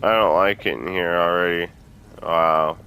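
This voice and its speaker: Deep, bright-voiced man doing game commentary. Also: steady tone, measured pace, a little hiss or hum, rough recording. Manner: informal, swearing, talking to viewers. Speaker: gaming video host. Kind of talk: casual let's-play commentary.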